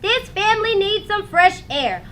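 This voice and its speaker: An encouraging picture-book mother, voiced by a woman reading aloud, cheerful in temper. She speaks high and brightly, with sweeping intonation. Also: lively intonation, a little slow, slight background noise, full character voice. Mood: cheery.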